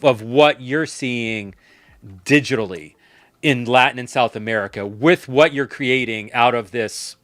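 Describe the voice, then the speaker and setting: A man hosting a panel conversation on a livestream, animated and emphatic, often repeating words. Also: medium pitch, dry recording. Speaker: host. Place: livestream call.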